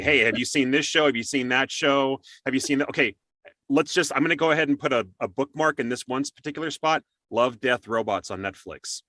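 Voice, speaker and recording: A man with a bright, forward voice hosts a casual interview. He sounds encouraging and appreciative toward his guest, and the sound is close and very dry. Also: medium pitch, dry recording. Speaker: interview show host.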